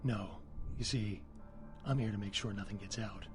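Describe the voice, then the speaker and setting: A man's voice: lowish voice, normal speed, over music, lightly acted. An actor playing a cocky, trigger-happy cemetery caretaker in a video game, wry and colloquial, swaggering as he talks. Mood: wry, boastful. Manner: wry, swaggering, gleeful about violence, colloquial.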